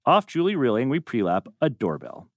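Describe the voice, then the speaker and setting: A man's voice: medium pitch, wildly swooping pitch, brisk, no room sound, clean studio sound. A man narrating stage directions from a script at a table read.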